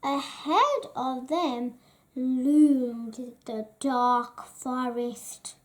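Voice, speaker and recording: Very slow, high-voiced female storybook reader. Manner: slow, deliberate, expressive reading. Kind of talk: scripted children's story read aloud.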